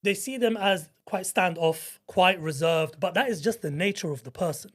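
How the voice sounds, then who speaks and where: A man's voice: high-pitched, moderate pace. A man with a London accent, a podcast guest talking in an emphatic, casual way and repeating his words. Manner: emphatic, repeats words, calls others bro.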